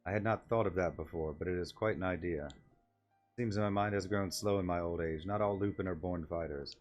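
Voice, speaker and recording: A man's voice: low voice, a little fast, faint music behind, close to an everyday voice. A man voicing a concerned old wolf-man village elder in a video game, speaking in a courteous, formal way. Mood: thoughtful, courteous.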